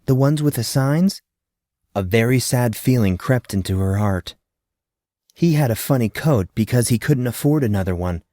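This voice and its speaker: A man narrating a fantasy audiobook with expressive, lively storytelling. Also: mid-range voice, moderate pace, dry recording, studio quality.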